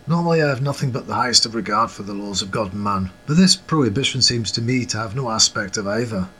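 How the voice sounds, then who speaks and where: An actor playing a genteel, proud yet modest Scottish football coach in a period audio drama, speaking with formal old-fashioned courtesy. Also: male voice, on the low side, expressive, quick pace, bright, forceful tone, a little hiss or hum. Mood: wry, courteous.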